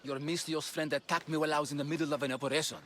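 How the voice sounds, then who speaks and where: An actor playing a dutiful, stubborn ancient Greek battlefield doctor in a dramatic video game, earnest and firm. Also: male voice, fairly high pitch, steady tone, very fast, fairly bright tone, slight background noise, natural voice. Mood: resentful.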